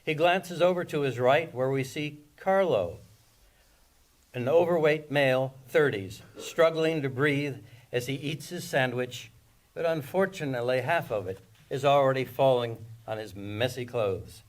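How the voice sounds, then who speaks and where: A man's voice: mid-range voice, measured pace. A man narrating scripted stage directions at a screenplay table read.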